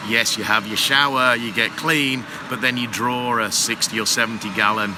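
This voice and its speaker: A man's voice: mid-range voice, steady tone, moderate pace. A man, a manager at a water heater company, explaining at length in a casual interview with lots of fillers. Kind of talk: casual interview on a trade show floor. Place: busy trade show floor. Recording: noisy expo floor background.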